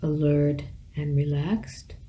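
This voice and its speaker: A woman teacher leading a guided meditation, speaking gently in measured phrases with long pauses between them. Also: sits low, steady tone.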